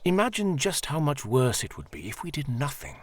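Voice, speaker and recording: A man playing a paternal, devout high priest in an audio drama, speaking in a measured, formal and placating way. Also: very animated. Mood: firm, admonishing.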